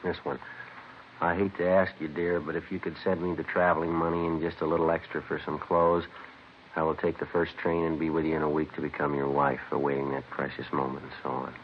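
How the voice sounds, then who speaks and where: An actor playing a terse, methodical police detective, dry and polite, in a vintage radio crime drama. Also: male voice, extremely low pitch, fairly quick. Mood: terse, pondering. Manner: deadpan, clipped, polite. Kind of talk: 1940s-50s radio crime drama, clipped narration and dialogue. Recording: noisy, dull vintage radio recording.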